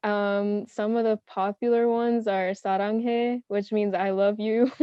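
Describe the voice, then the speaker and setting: A young woman answering questions as a panelist in a casual online round-table, soft-spoken and modest. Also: medium pitch, fairly even intonation, moderate pace, clean tone, no echo, muffled recording. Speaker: panelist, self-taught language learner.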